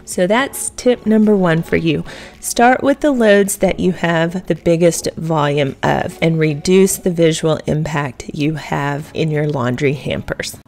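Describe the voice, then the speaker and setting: A woman's voice: sits low, measured pace, a little mellow, soft background music. A woman, chatting through household tips as a home vlogger, encouraging and talking straight to her viewers. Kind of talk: casual voice-over tips.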